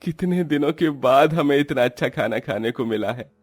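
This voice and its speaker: A man's voice: medium pitch, animated, moderate pace, soft background music, pitched above the performer's own voice, close to an everyday voice. A man voicing a tender, struggling young father and husband, pleading and reassuring, in a cartoon. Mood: excited, reassuring. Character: struggling, self-sacrificing poor husband and father, tender, earnest.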